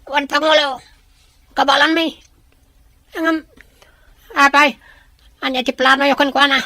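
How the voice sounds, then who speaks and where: Man acting a bright, tense obedient henchman. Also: very high voice, little pitch movement, measured pace, no echo, exaggerated voice. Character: obedient male henchman, dutiful leader of ambushers. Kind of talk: Filipino radio-style drama acting. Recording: music under the voice.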